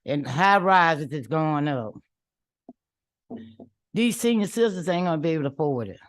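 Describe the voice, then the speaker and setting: A woman's voice: deep voice, animated, normal speed, no room sound. A woman, a community activist giving emphatic, defiant public comment at a legislative hearing, repeating her points in colloquial speech.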